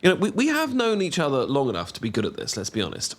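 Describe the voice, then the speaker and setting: A young British man in an intimate boyfriend roleplay, talking casually to the listener in a teasing, affectionate, sometimes halting and bashful way. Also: mid-range voice, wildly swooping pitch. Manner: gently teasing, playful, affectionate. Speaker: audio roleplay performer.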